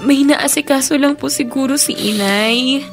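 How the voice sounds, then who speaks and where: A woman playing a gentle, respectful schoolgirl daughter with a Filipino accent in a Tagalog radio drama, polite and tender. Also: high voice, normal speed, music in the background. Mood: pleading.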